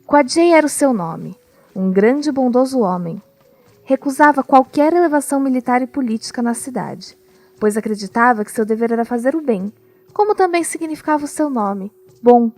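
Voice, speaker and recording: A woman narrating a scripted audio drama as a storyteller, with measured, deliberate phrasing. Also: sits high, expressive, darkish tone. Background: music.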